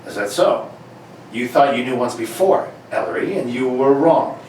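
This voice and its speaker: A man's voice: mid-range voice, animated, moderate pace, a little mellow, close to an everyday voice. A man playing a bossy, blustering police inspector and father, sputtering through an amateur comedic radio play reading. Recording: very echoey room, distant mic, faint noise.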